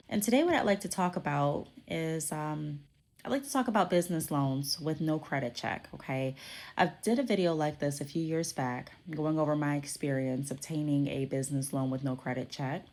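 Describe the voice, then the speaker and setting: A woman's voice: deep voice, brisk, a little mellow. A woman hosting her own podcast, explaining business topics in a conversational way while congested from a cold, often checking in with "okay?". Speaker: podcast host.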